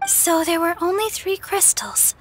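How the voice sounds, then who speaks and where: A gentle young maiden from a fantasy game. Her sweet voice is very high and slow, soft and musing, with faint noise behind it. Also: steady tone. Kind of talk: video game voice acting.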